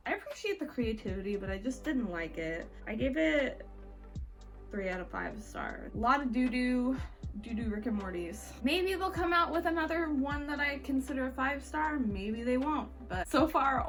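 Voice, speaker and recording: Chatty woman reviewing books over music. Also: a little high. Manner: informal, conversational, wry asides. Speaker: book vlogger. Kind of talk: casual chatty book review.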